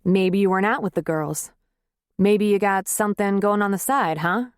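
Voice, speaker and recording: A middle-aged husband, clumsy and pleading, as a woman reads him, gruff one moment and coaxing the next. The voice sits low and plain. The sound is close and dry. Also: moderate pace, no echo, close to an everyday voice. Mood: accusing. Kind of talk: audiobook character reading.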